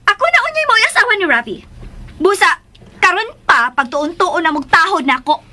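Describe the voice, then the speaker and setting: Shrill, very high-pitched haughty wife. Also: female voice, sing-song, brisk, bright, forceful tone, full character voice. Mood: outraged. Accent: Cebuano. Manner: imperious, scolding, snapping. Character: haughty, hot-tempered, domineering wife bossing the household. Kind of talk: radio drama acting.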